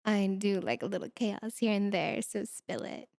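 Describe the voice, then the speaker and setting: A woman's voice: mid-range voice, moderate pace, vocal fry, darkish tone, dry recording, clean studio sound, close to an everyday voice. A woman playing a sultry, mischievous succubus demon in a flirty ASMR roleplay, with a coy, taunting delivery. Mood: coy, curious.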